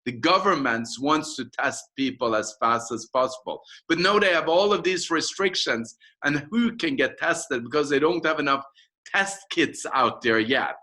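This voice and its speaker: A man from Norway with a Norwegian accent, speaking emphatically and repetitively as a company founder making a persuasive pitch in an interview. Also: normal speed, sharp tone.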